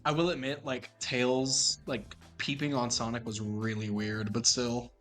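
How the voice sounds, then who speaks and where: A man's voice: mid-range voice, little pitch movement, a little slow, fairly bright tone, faint music behind. A young man co-hosting a casual podcast, arguing passionately and rambling as he defends his view. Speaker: podcast co-host. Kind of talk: casual chat.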